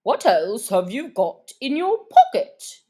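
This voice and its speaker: A woman's voice: fairly high pitch, wildly swooping pitch, slow, mellow, husky, full character voice. A woman voicing a grave, pompous dodo in a storybook, with solemn, declamatory delivery. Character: grave, pompous dodo presiding over a race.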